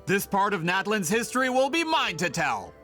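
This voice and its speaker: A scheming, greedy merchant villain from a video game. His voice is bright and sharp and sits high for a man. He is pompous and bitter, with theatrical, wide-swinging delivery over faint music. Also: very animated, moderate pace, exaggerated voice. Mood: smug, persuasive. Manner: pompous, theatrical, self-important.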